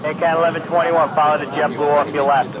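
A man's fairly high voice comes through a noisy radio channel, clipped and brisk. He directs pilots as a ground controller, sounding exasperated and cracking dry jokes between orders. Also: flat delivery. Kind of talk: air traffic control radio.

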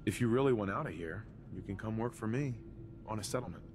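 A man playing a calm, terse wasteland survivor in video game dialogue, speaking matter-of-factly. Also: lowish voice, fast talker, noisy background, natural voice. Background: game music.

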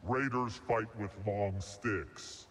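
A man voicing a blunt, literal, menacing heavy battle droid in a video game, monotone and clipped with robotic processing. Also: lowish voice, takes it slowly. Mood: deadpan. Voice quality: mechanical. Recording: loud noisy game ambience, clicks.

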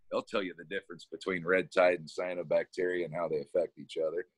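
A man from Kansas in his thirties with a deep voice, telling his story in a relaxed, casual way during an interview over a video call. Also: moderate pace, slightly rough, no room sound. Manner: relaxed storytelling, laughs often, repeats words when starting. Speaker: environmental educator guest. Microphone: webcam on a video call.

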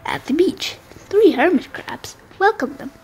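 Female storybook narrator for young children, high voice, unhurried and expressive, light music underneath. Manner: expressive, deliberate phrasing.

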